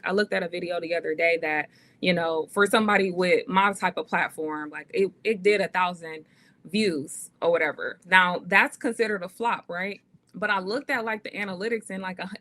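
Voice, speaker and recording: A woman's voice: medium pitch, a bit bright. A woman in her thirties, a podcast guest, talking earnestly and casually with many fillers. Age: 30s. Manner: earnest, faith-driven, many "um"s and "like"s. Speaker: guest, actress and author.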